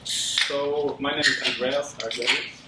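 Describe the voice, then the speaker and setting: A man from Sweden presenting casually at a tech meetup, with many fillers and a relaxed, rambling delivery. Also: medium pitch, measured pace. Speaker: conference speaker. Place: lecture room. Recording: roomy, distant with audible background noise.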